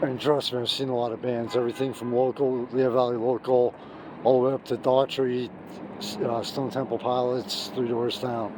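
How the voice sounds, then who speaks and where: Hoarse man raving about a band. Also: mid-range voice, level tone, normal speed. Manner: emphatic, gushing, restarts often. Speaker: music reviewer. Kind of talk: off-the-cuff video review. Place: outdoors on a city street at night. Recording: street noise and traffic behind.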